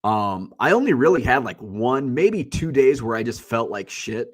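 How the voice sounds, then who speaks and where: A man's voice: medium pitch, very animated, moderate pace. Congested male stream host, loose rambling delivery, blunt and profane, mid-range voice. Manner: blunt, rambling, swears freely. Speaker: livestream host. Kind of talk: casual solo chat.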